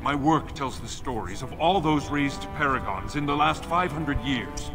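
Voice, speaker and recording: A man's voice: medium pitch, animated, normal speed, over music, lightly acted. An actor playing an anxious, bookish scholar in a fantasy game, speaking formally as he explains himself. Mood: earnest lecturing. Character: anxious, bookish male scholar pleading his case. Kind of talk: fantasy video game dialogue.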